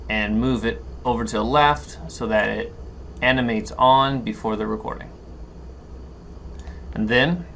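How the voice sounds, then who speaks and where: Creaky-voiced man giving a screen tutorial. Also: medium pitch, fairly bright tone. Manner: thinks aloud, halting, step-by-step. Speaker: tutorial instructor. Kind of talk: unscripted screen-share software walkthrough. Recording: noticeable background noise.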